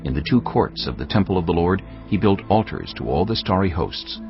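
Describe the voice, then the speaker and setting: A man narrating scripture in a measured, solemn storytelling voice. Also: sits low, big pitch swings. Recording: dull lo-fi audio over faint music.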